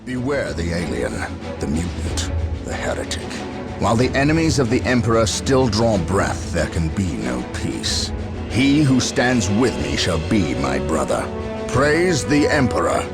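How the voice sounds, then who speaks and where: An actor playing a zealous imperial warrior-preacher who declaims piously in a sci-fi war game. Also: male voice, fairly low pitch, sing-song, a little slow, loud music behind the voice.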